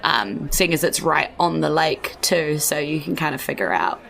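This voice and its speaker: Low, creaky New Zealand woman game master. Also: animated, normal speed, fairly bright tone. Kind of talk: casual improvised tabletop game narration.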